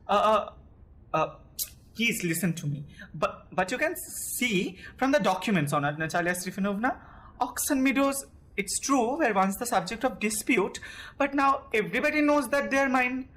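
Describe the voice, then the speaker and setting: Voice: mid-range voice, animated, normal speed, fairly bright tone, lightly acted. A young student actor with an Indian accent playing a nervous, fussy landowner suitor in an amateur audio drama, stammering and formal. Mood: insistent. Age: young adult.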